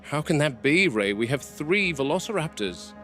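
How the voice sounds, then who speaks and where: A man's voice: on the high side, big pitch swings, moderate pace, a bit bright, faint music behind. A man playing an irate, seasoned dinosaur park game warden in a found-footage horror drama, blunt and exacting. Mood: angry, incredulous. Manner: blunt, indignant, exacting field-report delivery. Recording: noisy with ambient background sound.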